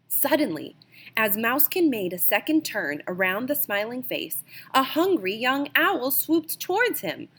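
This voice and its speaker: A woman reading a children's picture book aloud as an expressive storyteller, with dramatic emphasis. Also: medium pitch, breathy edge. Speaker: storybook reader.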